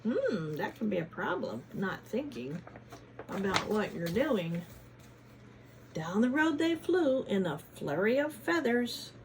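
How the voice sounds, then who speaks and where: Expressive, slow-paced woman reading to children. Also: mid-range voice, noisy background. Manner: teacherly, questioning, expressive. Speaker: children's read-aloud host, former teacher. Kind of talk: storybook reading with chatty asides to children.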